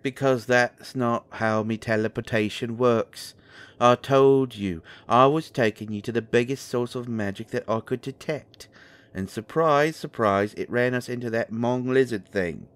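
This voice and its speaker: A male actor plays a sarcastic British troublemaker with a mid-pitched voice. He is blunt, cheeky and slangy, in urban fantasy audio drama style. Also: a little slow, smooth, close to an everyday voice. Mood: sarcastic, defensive.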